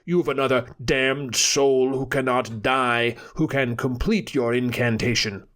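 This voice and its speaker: A man's voice: medium pitch, fairly even intonation, measured pace, fairly bright tone, close to an everyday voice. A man voicing a shaken male adventurer who gasps his words and then sneers. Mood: shaken, sneering. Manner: gasping, shaky, sneering.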